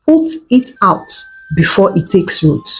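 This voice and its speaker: A woman's voice: mid-range voice, wildly swooping pitch, a little slow, breathy edge, soft background music, heavily acted. A woman voicing a wise, motherly village seer who speaks cryptically in a folktale. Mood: cryptic counsel.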